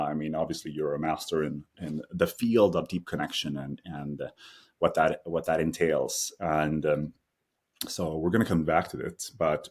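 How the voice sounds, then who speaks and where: A man hosting a podcast interview, talking hesitantly with many fillers as he introduces the next question. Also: low-pitched. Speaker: podcast host.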